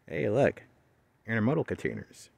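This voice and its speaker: A man's voice: on the low side, wildly swooping pitch, normal speed, gravelly, darkish tone, no echo. Chatty man narrating an outdoor rail walk. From not local to the area. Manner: rambling, chatty, hesitant with fillers. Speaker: railfan vlogger. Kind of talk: casual walking commentary. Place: outdoors along abandoned rail track.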